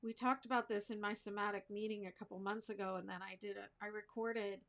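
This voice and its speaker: A woman's voice: mid-range voice, flat delivery, fast, a bit bright. A woman chatting casually and informally, thinking aloud in a self-deprecating way as she hosts a crafting livestream. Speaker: crochet livestream host. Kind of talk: casual rambling chat with viewers while crafting.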